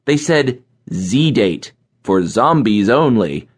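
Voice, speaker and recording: A man's voice: fairly low pitch, big pitch swings, slow, breathy edge, heavily acted. A young American man playing a sardonic, exasperated programming geek in a first-person audiobook. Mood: sarcastic, irritated. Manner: snarky, exasperated, emphatic. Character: sardonic young male programming geek who hates zombies.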